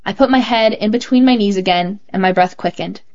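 A woman reading a child's essay aloud as scripted narration, in a clear and measured storytelling manner. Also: mid-range voice, a little mellow, dull audio. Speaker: host reading children's stories.

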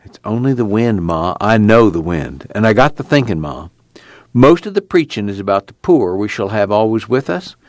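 A young man on the run, weary but quietly resolute, voiced by an audiobook reader. He talks low and unhurried in plain rural speech, and his pitch rises and falls freely. The voice sits well below the reader's own. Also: very animated, no room sound. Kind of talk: audiobook character reading.

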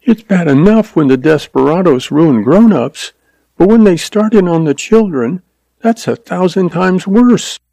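A man's voice: high-pitched, lively intonation, unhurried, creaky, dark tone, no room sound, pitched above the performer's own voice, heavily acted. A man voicing an earnest, anxious young frontier woman in a western story, with a quivering, pleading delivery.

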